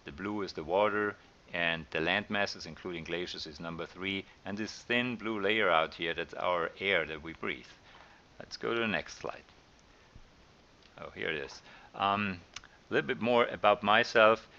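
Low-voiced Austrian man teaching. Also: moderate pace, muffled recording, slight background noise. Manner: relaxed, conversational, with filler ums and uhs. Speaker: online science teacher. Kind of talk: recorded slide lecture.

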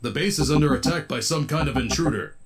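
A man's voice: fairly high pitch, fast talker, a loud crowd, close to an everyday voice. A man voicing an earnest, dutiful companion to a superhero in a comic book dub. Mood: urgent, dutiful. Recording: loud music behind the voice.

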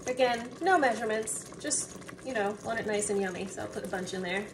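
A young woman casually narrating a home cooking video in a relaxed, chatty way, with fillers. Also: medium pitch, brisk. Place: home kitchen. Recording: distant mic, noticeable room noise.

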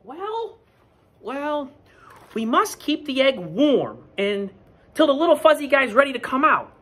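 A man's voice: very high voice, very animated, measured pace, fairly bright tone, pitched above the performer's own voice, heavily acted. A man voicing an earnest, hesitant but stubborn goose from a children's picture book.

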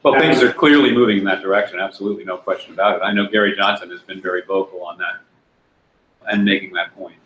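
An older man, a candidate, answering questions at a panel discussion in a restaurant room, pausing and restarting often. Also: low-pitched, fairly bright tone. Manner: halting, many restarts and fillers. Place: restaurant room with brick walls. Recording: echoey room, faint noise.

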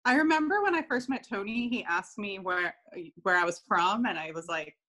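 A young woman from Pakistan speaking as a guest over a home webcam. She answers in an easy, relaxed way and laughs often as she talks. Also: mid-range voice, moderate pace. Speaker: guest designer. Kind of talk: casual video-call interview. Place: home room, indoors. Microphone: video call, webcam.

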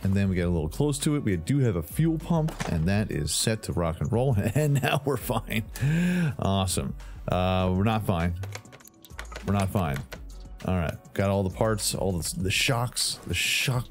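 A man hosting a gaming channel, giving playful commentary while fixing up a hot rod, breaking into silly sound effects and bits of song. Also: fairly low pitch. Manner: goofy, sings and makes silly sound effects. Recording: faint game music behind.

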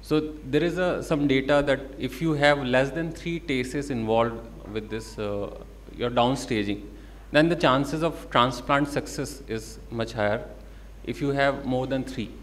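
A man's voice: mid-range voice, unhurried, slight background noise. A man with an Indian English accent answering as a panelist doctor, hesitant with frequent fillers. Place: conference stage.

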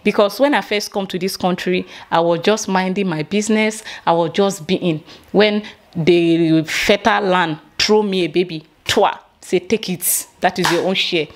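A young woman with a Nigerian accent vents straight into the camera, emphatic and indignant. Her voice sits fairly low for a woman and shifts a lot in pitch as she presses each point. She is recorded close up in a small indoor room. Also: steady tone, moderate pace. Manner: indignant, emphatic, gestures while ranting. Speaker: vlogger. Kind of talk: opinion vlog to camera.

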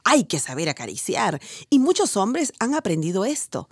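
A woman's voice: mid-range voice, very animated, normal speed, husky, no echo, clean studio sound. An actress playing a frank, playful woman doctor who hosts a radio advice show in a teasing, instructive way. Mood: explanatory, assured. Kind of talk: radio drama advice programme.

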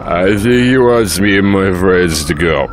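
A man's voice: deep voice, a little slow. A man playing a young, teasing buddy in a comedy cartoon, with crowd noise behind him. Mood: casual.

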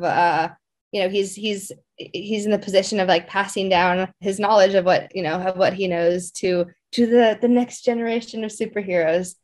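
A woman's voice: mid-range voice, darkish tone. A woman voice actor giving a casual interview, with informal, loose phrasing peppered with filler words.

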